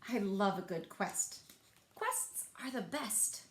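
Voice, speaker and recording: A woman's voice: medium pitch, lively intonation, slow pace, roomy sound, a little hiss or hum, voice pushed down. A woman voicing an eager, wistful young twig searching for family in a children's storybook, wondering and childlike.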